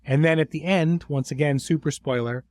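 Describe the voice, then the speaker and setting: A man's voice: on the high side, normal speed, darkish tone, no room sound. Middle-aged man hosting, dry close sound. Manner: effusive, informal praise. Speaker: host and series creator. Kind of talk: conversational podcast discussion.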